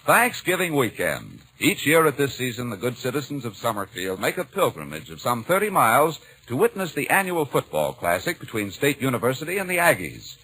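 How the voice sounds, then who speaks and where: A man's voice: medium pitch, wildly swooping pitch, a little fast, hardly any pauses, low-quality recording. A man announcing a 1940s radio show in a projected, formal, showy manner. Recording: 1940s radio broadcast recording.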